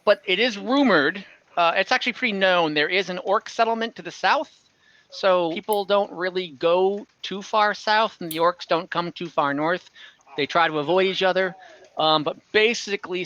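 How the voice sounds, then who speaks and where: A man's voice: high-pitched, normal speed, bright, forceful tone, dry recording, lo-fi sound. A man running a tabletop game as game master, explaining the world in a conversational, improvised narration over an online call.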